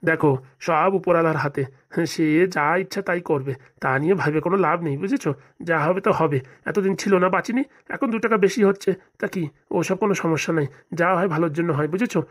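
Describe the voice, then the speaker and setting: An actor playing an easygoing, reassuring middle-aged village husband in a comedy cartoon. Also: male voice, fairly high pitch, fairly quick, lightly acted.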